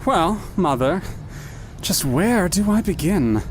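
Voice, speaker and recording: Woman playing a slow, sly, fawning son. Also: sing-song. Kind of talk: audio drama acting. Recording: clear rumble and background noise.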